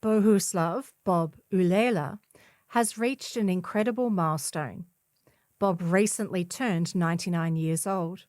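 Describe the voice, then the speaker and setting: A woman narrates a written feature story for a community paper. Her voice sits a little low for a woman, and it is captured very dry, with hardly any room sound. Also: a little slow, darkish tone, dry recording. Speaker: volunteer reader of a community newspaper. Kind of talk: scripted narration of a feature article.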